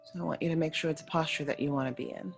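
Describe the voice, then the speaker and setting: A woman leading a guided meditation as a yoga nidra teacher, speaking in soothing, unhurried short phrases with pauses between them. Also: sits low, steady tone, vocal fry. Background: faint music.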